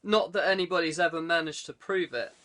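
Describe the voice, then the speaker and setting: A man's voice: fairly high pitch, fairly even intonation, a little fast, fairly bright tone, lightly acted. A man voicing a fussy, protective castle guide who explains things cautiously in an audiobook adventure. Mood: explanatory.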